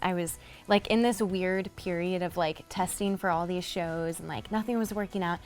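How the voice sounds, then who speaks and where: A woman actress tells her story in a self-deprecating, sighing way, her voice a little low. Faint music sits behind her. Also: fairly even intonation, normal speed. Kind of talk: casual storytelling in an interview.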